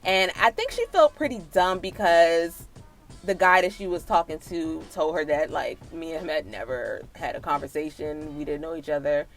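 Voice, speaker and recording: Low-pitched American woman over music. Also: lively intonation, normal speed. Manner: emphatic, slangy, sisterly direct address to listeners. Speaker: storytelling vlogger. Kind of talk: casual personal storytime and advice.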